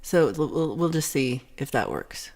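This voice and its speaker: A woman's voice: extremely low pitch, very animated, measured pace, darkish tone. Chatty Texan woman hosting a livestream. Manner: chatty, rambling, reads out viewer comments. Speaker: livestream host reading chat. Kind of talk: casual chat.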